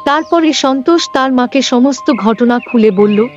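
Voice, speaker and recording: A woman's voice: high voice, unhurried, muffled recording, soft background music. A woman reading scripted narration for a cartoon folk story.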